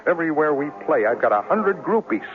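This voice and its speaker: A man's voice: a little high, sing-song, fairly quick. An actor playing a cocky, hot-tempered and boastful leading man in a radio drama, with a brash, punchy delivery. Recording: tinny old radio broadcast.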